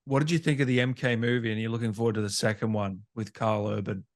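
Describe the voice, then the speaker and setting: A man's voice: on the low side, fairly even intonation, fast talker, no echo, clean studio sound. A man with an Australian accent asking questions as host of a casual gaming interview.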